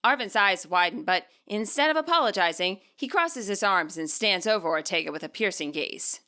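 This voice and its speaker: A woman reading scripted story narration as its author, in an expressive way. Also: medium pitch, normal speed.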